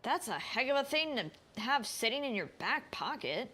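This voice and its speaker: A woman's voice: on the high side, very animated, moderate pace, sharp tone. A woman game streamer giving casual live commentary and reading along with dialogue. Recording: game music behind the voice.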